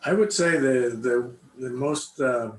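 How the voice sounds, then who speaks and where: An older man from Cuba answers casual interview questions about his life, often pausing to search for his words. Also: mid-range voice. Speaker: interviewee, congregation member. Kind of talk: casual personal interview, recollecting. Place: indoor room, online video call. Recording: echoey room, faint background noise.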